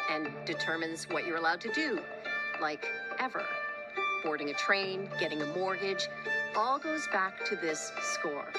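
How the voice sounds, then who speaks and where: A woman with a North American accent narrating a scripted news explainer in a conversational style. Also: lowish voice. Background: loud music bed.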